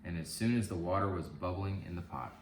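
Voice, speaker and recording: Low-voiced man reading a storybook. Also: a little fast. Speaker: guest story reader. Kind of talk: children's storybook read-aloud. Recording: roomy, faint background noise.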